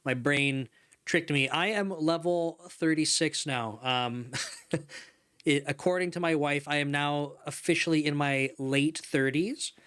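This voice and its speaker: A man's voice: on the high side, unhurried, fairly bright tone, clear, resonant tone. A man in his thirties hosting a casual livestream from a home studio, chatting at length, laughing often and reading chat messages aloud. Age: 30s. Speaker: livestream host. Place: home studio room with couch and neon lights. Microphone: desk microphone in front.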